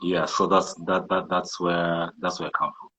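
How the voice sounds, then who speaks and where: A Kenyan-accented man from the coast whose first language is Swahili, speaking in a fairly low voice. He talks in a measured, thoughtful way, often restarting his sentences as he works through his answer. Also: little pitch movement, pushed and bright, low-quality recording. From Kenyan coast, Malindi. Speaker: guest entrepreneur. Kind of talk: casual interview talk.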